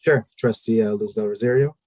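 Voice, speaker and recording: Man, committee chair, low mellow voice, husky, dry close sound, measured, procedural, fillers. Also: dry recording. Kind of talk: chairing a formal meeting.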